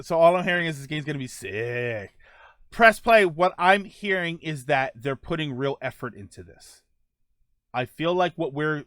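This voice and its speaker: Brief: male gaming podcast host, slightly high voice, dry close sound, informal read-aloud commentary with offhand asides. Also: fairly bright tone, no echo. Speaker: host of a video game podcast and livestream. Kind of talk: casual livestream commentary, reading out news notes.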